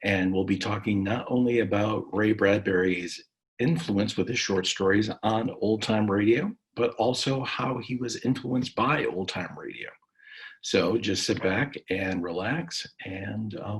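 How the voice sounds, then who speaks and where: Male host for a casual interview session, conversational delivery, halting and prone to false starts. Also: lowish voice, low-quality recording. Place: recorded virtual session.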